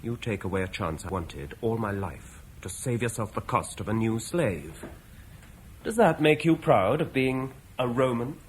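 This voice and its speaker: A resentful young man, played by a man, hurling bitter accusations in a radio drama. His mid-range voice swings widely in pitch, with faint ambient noise around him. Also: expressive, unhurried, close to an everyday voice. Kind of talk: 1950s radio play acting.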